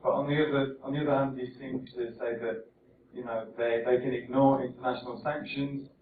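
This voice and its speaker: A man in a lecture audience asking a measured, probing question. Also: mid-range voice, flat delivery, slight background noise. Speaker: audience member. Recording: echoey hall, far mic, phone-like.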